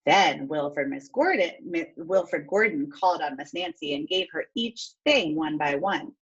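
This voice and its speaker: A woman teacher reading a storybook aloud and pausing to explain things step by step in an instructive way. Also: deep voice, expressive, slightly rough, muffled recording.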